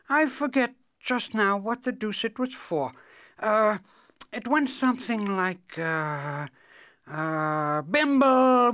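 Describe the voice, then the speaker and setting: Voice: medium pitch, fairly bright tone, dry recording, studio quality, voice pushed up. A storyteller voicing a nervous, forgetful old wizard who hesitates and stammers in a muddled way. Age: older.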